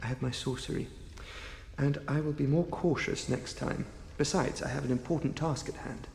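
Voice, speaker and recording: A man's voice: medium pitch, fairly even intonation, moderate pace, vocal fry, a little mellow, slight background noise, lightly acted. An actor playing a polite, earnest male sorcerer in a video game, speaking in formal, courteous words. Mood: grateful, courteous. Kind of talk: video game character dialogue.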